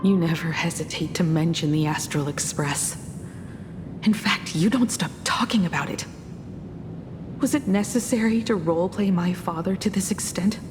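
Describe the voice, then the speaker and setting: An actress playing a composed, perceptive young woman in a video game, speaking in a measured, cool and serious way. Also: on the low side, over music, close to an everyday voice. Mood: cool, reproachful. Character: composed, perceptive young woman confronting her pretend father. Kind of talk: dramatic video game dialogue.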